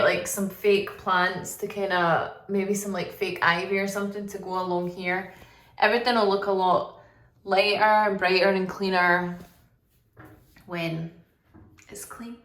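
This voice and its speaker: A woman vlogging a casual apartment tour indoors, talking in a monotonous, relaxed way with lots of fillers. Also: medium pitch. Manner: monotonous, flat delivery; relaxed, filler-heavy talk.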